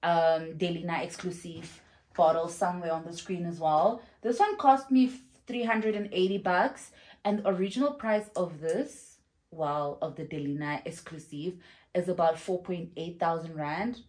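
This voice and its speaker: A woman's voice: on the low side, normal speed, darkish tone. A young woman from South Africa chatting effusively in a casual perfume review vlog, filmed at home on a camera in front of her. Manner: chatty and effusive, with exclamations and fillers. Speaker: vlogger. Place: home room with shelves, indoors.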